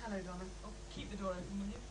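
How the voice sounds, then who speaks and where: An actress playing a protective, exasperated grown-up daughter in a radio drama. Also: female voice, medium pitch, flat delivery, rapid-fire, close to an everyday voice, low-quality recording. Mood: fussing, reproachful. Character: protective, exasperated adult daughter of ailing professor.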